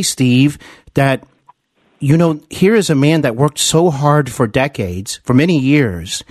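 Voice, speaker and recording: A man hosting a podcast interview, speaking in a deferential, admiring and halting conversational way. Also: medium pitch, darkish tone, no echo, clean studio sound.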